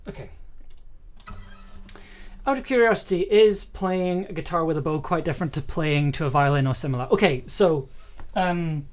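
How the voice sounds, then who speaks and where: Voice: mid-range voice, moderate pace. A livestream host chatting casually while demonstrating, with a hesitant, self-deprecating manner. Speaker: livestream host, audio drama producer and musician.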